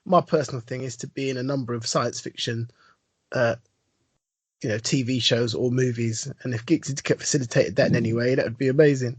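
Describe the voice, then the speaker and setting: A man's voice: medium pitch, steady tone, brisk, slightly rough, dry recording. A man co-hosting a podcast, giving brief, easygoing replies in casual chat. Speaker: podcast co-host.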